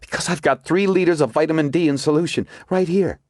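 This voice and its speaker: A man's voice: fairly high pitch, quick pace, a little mellow, no echo, clean studio sound, pitched above the performer's own voice, lightly acted. A man voicing a determined young woman scientist who talks breathless and insistent. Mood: explaining.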